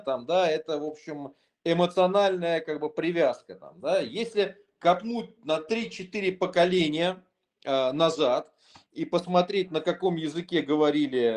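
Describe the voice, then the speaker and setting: A man's voice: on the high side, darkish tone. A man, a lawyer, explaining his view in a conversational discussion, thoughtful and full of hesitations and restarts.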